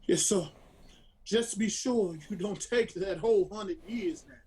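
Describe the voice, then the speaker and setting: Proud, respectful Southern freedman for a radio play, high-pitched voice, folksy and sincere. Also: a little slow, warm tone. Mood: respectful, wry. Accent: American Southern. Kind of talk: radio drama acting.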